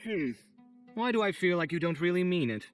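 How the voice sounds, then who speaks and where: A man's voice: high-pitched, lively intonation, fairly quick, faint music behind. A man playing a proud, hot-tempered young architect in a video game, speaking with theatrical, haughty exasperation. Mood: irritated, suspicious.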